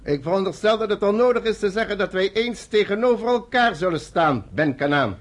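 An actor playing a formal, measured British general in a radio drama, speaking with authority. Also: male voice, high voice, expressive. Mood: grave. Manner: formal, authoritative, deliberate phrasing. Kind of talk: Dutch radio drama acting.

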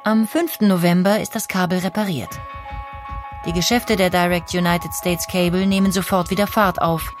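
A woman narrating a historical radio documentary in a calm, even storytelling way into a studio mic. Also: on the low side, normal speed, faint music behind.

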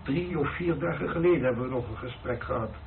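A man acting a probing senior churchman in a Dutch radio play, speaking in a measured, solemn, questioning way. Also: mid-range voice, creaky, natural voice. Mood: challenging. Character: probing senior churchman, a priest's spiritual mentor. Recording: noisy, narrow phone-like old radio recording.

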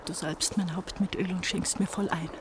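An actress playing an anguished eighteen-year-old pastor's daughter on the run in a German radio play, brooding and halting, often repeating her words. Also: fairly low pitch, steady tone, heavy background noise, lightly acted. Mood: hushed, praying. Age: young adult. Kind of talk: German radio play acting, introspective monologue.

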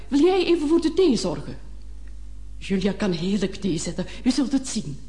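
An elderly lady in a radio play, cordial and eager to host. Her voice is high and soft-edged, with a swooping, animated melody. She chats on in a genteel, gushing way. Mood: gushing welcome. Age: older. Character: cordial, chatty old lady, eager hostess mother. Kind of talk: Dutch-language radio play acting.

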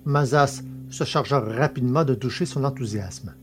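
A man's voice: mid-range voice, normal speed, soft background music. A man narrating a scripted science-fiction adventure story as its dramatic narrator.

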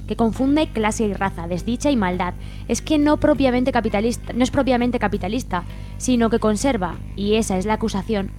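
A woman's voice: mid-range voice, darkish tone. A woman with an accent from Spain, presenting and reading scripted material as a radio co-host in a studio. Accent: Spanish, from Spain. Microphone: studio microphone. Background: music.

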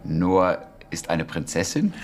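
A man's voice: sits low, sing-song, takes it slowly, darkish tone, exaggerated voice. An actor playing a reserved British wartime intelligence man in a German radio drama, with a terse, questioning manner.

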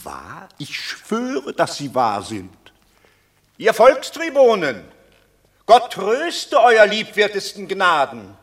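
Swooping, boisterous old Roman patrician. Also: male voice, sits high, wildly swooping pitch, slow, a bit bright, exaggerated voice. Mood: boisterous joy. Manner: mocking, theatrical, effusive. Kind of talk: classical German radio drama acting.

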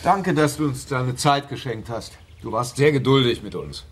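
An actor playing a courteous, dry police investigator in a radio drama. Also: male voice, medium pitch, expressive, moderate pace, husky. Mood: dryly courteous.

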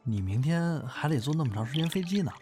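A man's voice: on the high side, animated, fast, lightly acted. A man playing a modest young comic performer in an audio drama, hesitant and prone to stammering when flustered. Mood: awkward, evasive. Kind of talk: Chinese audio drama dialogue. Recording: music behind the voice.

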